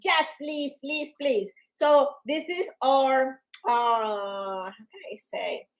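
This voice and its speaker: A Colombian woman with an accent, co-hosting a live worship stream in an energetic, excitable way. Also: high-pitched, slow pace, rough recording. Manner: energetic, excitable, with squeals and laughs. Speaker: co-host of an online worship show. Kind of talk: casual live-stream chat. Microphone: live stream on phones and devices.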